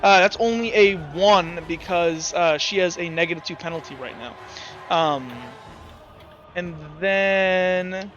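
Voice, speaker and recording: A man's voice: high voice, takes it slowly, fairly bright tone, muffled recording. A man running a tabletop game as game master, rambling with frequent restarts.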